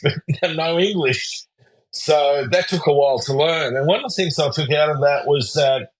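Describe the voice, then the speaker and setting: A husky older man from Austria speaks in a relaxed, rambling way. His voice is of middle height for a man. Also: medium pitch, rough recording. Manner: relaxed, rambling, self-deprecating with laughs. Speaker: guest psychologist. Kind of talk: casual storytelling interview.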